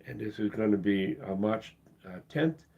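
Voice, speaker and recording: A man hosting his cruise channel live, losing his voice and talking in a halting, casual way with many pauses and restarts. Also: lowish voice, narrow, tinny audio. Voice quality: husky. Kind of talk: casual live-stream talk.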